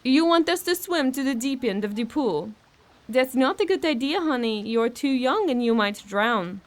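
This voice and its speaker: An actress plays a hard-edged Bulgarian woman in a horror audio drama. She is sardonic under a sugary, coaxing surface, and her voice sits fairly high. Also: animated, moderate pace. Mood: puzzled. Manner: coaxing, wry. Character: tough, sardonic Bulgarian woman minding a child, falsely sweet.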